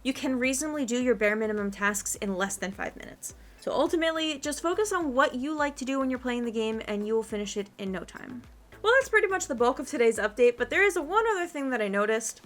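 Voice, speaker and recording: A woman's voice: a little high, fairly bright tone. A woman giving a casual gaming guide commentary as a video host, chatty, informal and encouraging. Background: background music.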